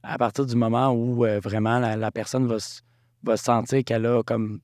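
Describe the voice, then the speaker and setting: A man's voice: mid-range voice, little pitch movement, normal speed, a little mellow, no echo, studio quality. A young man, a student taking part in a workshop, who talks hesitantly and searches for his words. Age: young adult. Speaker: student participant.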